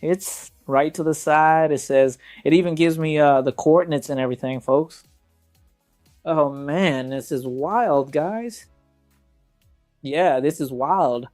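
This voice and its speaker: A man's voice: fairly high pitch, slow pace, a little mellow, soft background music. A man reviewing tech gadgets in a casual walkthrough, talking informally and directly to viewers.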